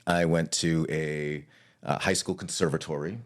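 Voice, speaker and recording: A man's voice: rumbling low, steady tone, normal speed. A man from Hawaii, an arts leader, talking through his career in a casual interview.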